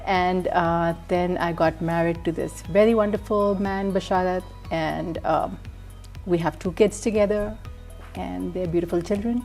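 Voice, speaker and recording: A soft-spoken woman in her thirties from Pakistan, telling her story as a talk show guest. Her voice sits a little low, and she often pauses with ums. Faint music plays underneath. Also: fairly even intonation. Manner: soft-spoken, warm, frequent ums and mm-hmms. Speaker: guest, entrepreneur. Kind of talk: casual interview.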